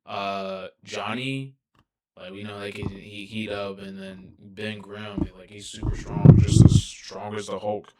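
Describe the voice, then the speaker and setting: A soft-spoken young man rambling casually through a reaction video, full of fillers and restarts. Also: on the low side, level tone, a little slow, very noisy.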